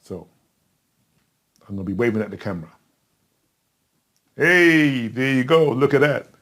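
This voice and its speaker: A middle-aged man giving a casual step-by-step tutorial in a chatty, simple way, with a husky voice. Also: medium pitch, lively intonation, a little slow, a bit bright. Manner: simple, chatty, talking himself through each step. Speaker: tutor in a tutorial video, also acting as the student.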